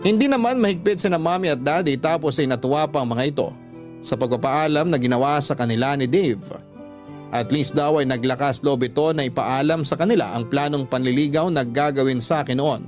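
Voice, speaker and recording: A man's voice: medium pitch, brisk, darkish tone. Male radio storyteller over faint music. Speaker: radio storyteller reading a letter. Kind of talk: scripted first-person narration of a love story.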